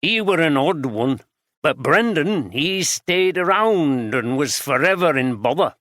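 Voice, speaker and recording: A man voicing a blunt, gruff brewery guide in his sixties with a broad Yorkshire accent. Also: a little high, animated, unhurried, fairly bright tone, no echo, clean studio sound, pitched above the performer's own voice. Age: 60s.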